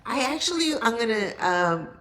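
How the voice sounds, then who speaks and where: A woman's voice: a little high, very animated, moderate pace, a bit bright. A woman guest on a podcast casually telling an anecdote, voicing quoted exchanges in a conversational way.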